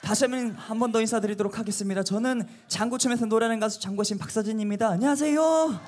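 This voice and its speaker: A man of thirty, a singer, chatting warmly and gratefully with a crowd between songs at an outdoor night concert. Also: sits high, quick pace. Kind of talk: stage banter between songs. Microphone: handheld microphone. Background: loud band music.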